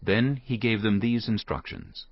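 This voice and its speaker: A man narrating scripture from a script in a measured, solemn manner. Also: on the low side, lively intonation. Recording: dull lo-fi audio.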